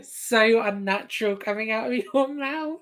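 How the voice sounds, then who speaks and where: A woman's voice: fairly high pitch, a little slow, a bit bright. A woman chatting casually as a podcast co-host, giggly and teasing. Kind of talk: casual bantering chat.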